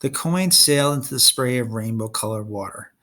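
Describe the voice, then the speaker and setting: A man reading a story aloud as an expressive narrator. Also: medium pitch, a little fast. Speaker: storybook reader.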